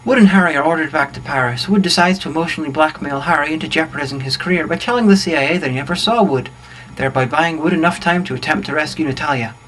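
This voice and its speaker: A man narrates a comic plot recap at a quick clip. His voice is slightly high, and his delivery is dry and wry. There is faint noise behind him. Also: a bit bright, low-quality recording. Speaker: co-narrator of a comedy film review.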